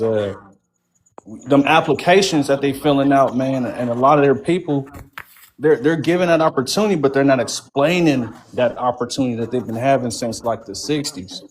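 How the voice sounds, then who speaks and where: An American man from California talking casually and conversationally in street slang, often punctuating his sentences with an address word. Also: mid-range voice.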